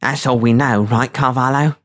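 A man voicing a tough, irritable woman navy subpilot in an audiobook, blunt and curt with a rough working-class accent. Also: medium pitch, steady tone, normal speed, fairly bright tone, close to an everyday voice. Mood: guarded. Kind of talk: audiobook character voice.

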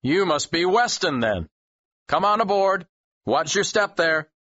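A man playing a brisk young first mate on a ship in an audiobook drama. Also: high voice, animated, pushed and bright, no echo, heavily acted. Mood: brisk, welcoming. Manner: brisk, calling out plainly. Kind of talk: full-cast audiobook acting. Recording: dull, slightly muffled audio.

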